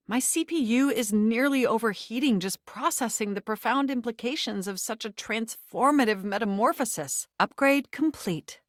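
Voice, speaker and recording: A woman voicing a sentient home computer in a video game, nerdy, formal and excitable, with stilted, wordy technical phrasing. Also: on the high side, no echo, studio quality, close to an everyday voice. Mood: formal, pleased, then excited. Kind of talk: scripted video game character voice acting.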